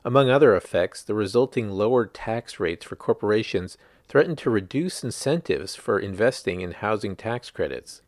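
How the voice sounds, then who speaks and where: Male reporter, scripted article narration, lowish pitch, very varied intonation, dry close sound. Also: lively intonation, moderate pace, few pauses, darkish tone, dry recording. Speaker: reporter reading own article.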